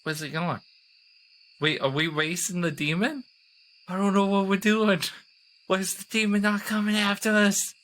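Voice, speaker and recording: A man's voice: high voice, steady tone, moderate pace, pauses often, fairly bright tone, low-quality recording. A man chatting casually as a player in a tabletop roleplaying game, slipping into in-character asides.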